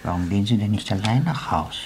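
Gravelly, musing aging comrade. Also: male voice, on the low side, lively intonation, fast talker, heavy background noise. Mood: dry. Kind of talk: radio play acting.

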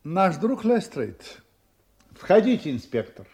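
An actor playing a courteous, composed private detective in a radio play, with a polite, measured, assured manner. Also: male voice, sits high, very animated, heavy background noise, full character voice. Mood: affable.

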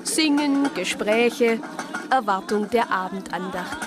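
Eine Schauspielerin spielt eine Diakonisse, die als Ich-Erzählerin in einem Hörspiel von ihren Erlebnissen berichtet. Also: female voice, medium pitch, slow, close to an everyday voice. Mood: calm recounting. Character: anxious deaconess recounting her adventures abroad. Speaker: first-person narrator. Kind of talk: radio play narration and dialogue.

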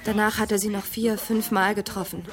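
A woman's voice: medium pitch, flat delivery, a little fast, soft background music, lightly acted. An actress playing a troubled young woman in a radio drama, confiding earnestly and hesitantly. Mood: uneasy, confiding. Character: troubled young woman, ashamed of her brother.

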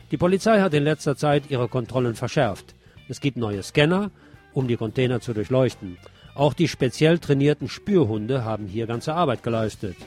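A man's voice: medium pitch, darkish tone. A man presenting scripted radio news, reading reports and adding wry, sardonic asides.

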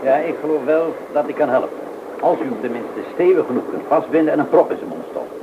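A man's voice: fairly high pitch, expressive, fast talker, creaky. A man playing an obliging, practical train conductor in a radio play. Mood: helpful. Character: helpful male train conductor. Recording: tinny phone-like audio, background noise.

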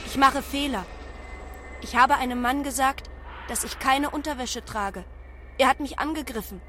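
Naive, high-voiced young AI girl played by an actress. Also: moderate pace, background noise, natural voice. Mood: naive, curious. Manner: literal, matter-of-fact, questioning. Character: naive young female artificial intelligence avatar, literal and earnest. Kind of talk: scripted radio drama acting. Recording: music behind the voice.

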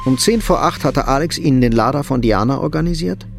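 A man reading as the measured, sober narrator of a political thriller radio drama, in scripted literary prose. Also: medium pitch, vocal fry, soft background music, lightly acted. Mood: tense, factual. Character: sober third-person storyteller of a political thriller.

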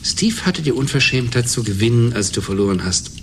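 An actor plays a weary middle-aged man in a radio drama. He speaks in a medium-pitched, subdued voice, measured and quietly defensive. Noise is clearly audible on the recording. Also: expressive, close to an everyday voice. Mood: subdued, earnest. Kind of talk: German radio drama acting.

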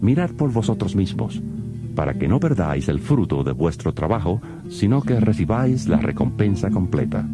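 A man giving a solemn, measured reading of scripture, his voice dropping to a hush at the ends of verses. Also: low-pitched, very animated. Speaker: Bible reader. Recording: guitar music under the voice. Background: plucked guitar music.

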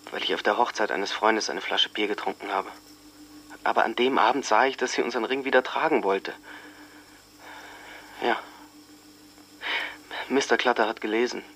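A young boyfriend making a statement in a radio play. He is earnest and plain-spoken, halting and repeating words in a fairly low voice over faint noise. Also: fairly even intonation, fairly bright tone. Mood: subdued recollection. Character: Bobby, earnest teenage boyfriend giving a police statement. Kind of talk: radio drama monologue.

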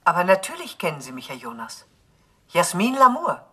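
A woman's voice: fairly low pitch, huge pitch swings, brisk, pushed and bright, husky, exaggerated voice. A woman playing an elegant client calling a detective by videophone in a radio play. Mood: insistent, cool. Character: elegant, forgetful female client, a war reporter, on videophone. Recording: long reverb, music behind.

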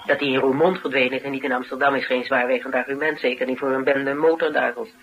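An actress playing a shrewd, probing investigator, questioning in a dry, colloquial radio drama style. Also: female voice, very deep voice, fairly even intonation, fast talker. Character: shrewd, probing female investigator, cool and direct. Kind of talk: naturalistic radio drama acting. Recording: noisy radio drama recording.

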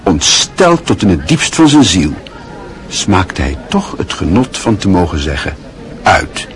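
A man narrating a radio play in a measured, expressive storytelling manner. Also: deep voice.

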